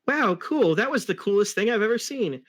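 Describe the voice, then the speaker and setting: A man's voice: sits high, animated, moderate pace, a bit bright, pitched above the performer's own voice. A man voicing an eager, gushing young cartoon fox sidekick in a dramatic reading. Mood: gushing excitement. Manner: gushing, exclaiming.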